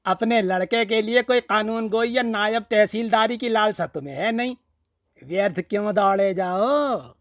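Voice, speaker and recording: A man's voice: high-pitched, moderate pace, fairly bright tone, no room sound, clean studio sound, voice pushed up. A man voicing an indignant friend in a storybook reading, giving opinionated advice in an emphatic, mocking manner. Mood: warning.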